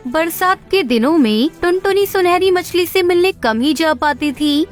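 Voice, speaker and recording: A woman storyteller reading a scripted children's story as narrator. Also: extremely high pitch.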